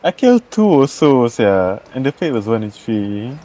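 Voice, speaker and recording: A young man with a Malaysian accent chatting casually and excitably while he plays, slipping between English and Malay slang. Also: mid-range voice, moderate pace. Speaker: game streamer. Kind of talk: casual game stream chat with live commentary. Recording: game music behind the voice. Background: video game music.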